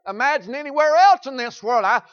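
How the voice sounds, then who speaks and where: A man preaching a sermon in a Southern US accent, folksy and repetitive, swinging between loud shouts and quiet asides. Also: very high voice, lively intonation, a little fast, clean tone, no room sound, highs cut off.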